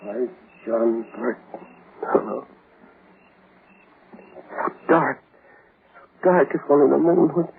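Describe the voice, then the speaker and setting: A wronged man bent on revenge in a vintage radio horror play. He speaks very slowly and haltingly, dazed yet grimly insistent. The sound is tinny, narrow and noisy, like an old broadcast. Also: medium pitch, vocal fry. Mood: searching, desperate. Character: vengeful, obsessed wronged man stalking his enemy. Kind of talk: 1940s radio horror acting.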